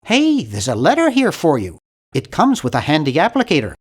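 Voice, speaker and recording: A man's voice: a little high, huge pitch swings, fairly quick, slightly rough, full character voice. A man voicing a nerdy, timid young adventure-game hero, bookish and polite, with a nasal voice and an awkward, earnest, fussy manner. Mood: awkward, friendly. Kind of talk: video game character voice lines.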